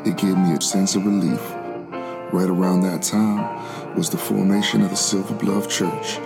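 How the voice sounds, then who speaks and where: A man's voice: low-pitched, fairly even intonation, normal speed, creaky, music in the background. A man playing a devout, formerly enslaved preacher of the 1700s, telling his spiritual struggle in solemn, reflective first-person narration. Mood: earnest, reflective. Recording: loud musical backing.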